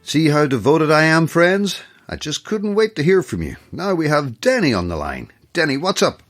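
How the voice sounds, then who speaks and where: A man's voice: on the high side, very animated, moderate pace, a bit bright. An actor playing an edgy late-night radio host with wry showman patter in an audio drama. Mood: smooth, showy. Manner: wry showman patter, short punchy phrases.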